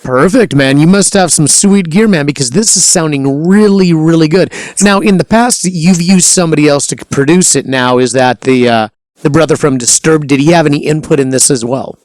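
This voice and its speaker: A man's voice: on the high side, moderate pace, darkish tone, no room sound. A man hosting a casual interview show, upbeat and hearty, hyping up his guest. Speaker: show host.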